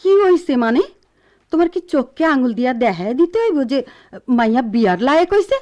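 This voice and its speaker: Nagging village wife, animated delivery. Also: female voice, high voice, a little fast. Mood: reproachful, nagging. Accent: rural Bangladeshi dialect. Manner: scolding, reproachful. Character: nagging middle-aged village wife and mother. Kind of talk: Bengali radio drama acting.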